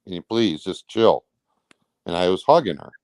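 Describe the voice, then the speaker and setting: Low, smooth-voiced man telling his story. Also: animated, normal speed, a little mellow, no echo. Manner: rambling, blunt, colloquial with swearing. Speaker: interview guest; truck driver. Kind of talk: casual recounting of personal events.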